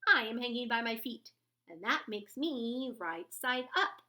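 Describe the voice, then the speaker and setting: A woman voicing a brash, know-it-all bat stranger, loud and emphatic, in a children's storybook. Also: a little high, animated, measured pace, heavily acted. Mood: insistent. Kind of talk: children's storybook read-aloud.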